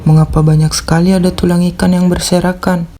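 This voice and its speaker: A naive little fable crab, voiced by a man, trusts easily and asks questions. The male voice is on the high side, over a faint bed of music. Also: little pitch movement, quick pace, dark tone, close to an everyday voice. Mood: uneasy.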